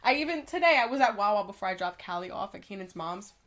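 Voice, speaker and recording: A woman's voice: mid-range voice, animated, fast, fairly bright tone. A young woman, co-hosting a podcast in a casual chat, congested, laughing often and talking with lots of fillers. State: congested, dry throat. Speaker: podcast co-host.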